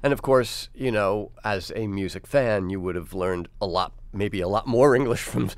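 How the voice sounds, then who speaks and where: A man's voice: lowish voice, moderate pace, dry recording. A man hosting a radio interview in a studio, asking curious, conversational questions. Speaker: radio host. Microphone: studio mic.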